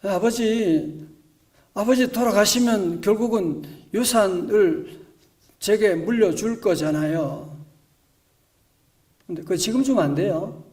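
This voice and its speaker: Man playing a pushy young son pleading for his inheritance; high voice, distant pickup. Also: slow pace, pauses often, a little mellow.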